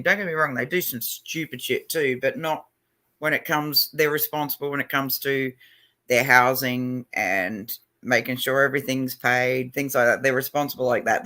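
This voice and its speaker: A man's voice: medium pitch, sharp tone. A middle-aged man chatting casually on a livestream, loose and profane, with lots of fillers. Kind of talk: casual chat.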